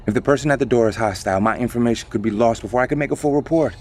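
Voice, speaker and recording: A man plays a self-important male researcher who dodges and hedges. He talks quickly in a mid-range voice. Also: medium pitch, natural voice. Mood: evasive. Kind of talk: radio drama.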